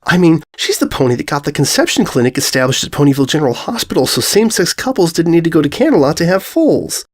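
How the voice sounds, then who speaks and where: Man as young storybook colt, timid, hesitant, gushing, impressionable, lively intonation. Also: on the high side, fast, pitched above the performer's own voice. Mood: awed, gushing. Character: timid, easily impressed young stallion pony.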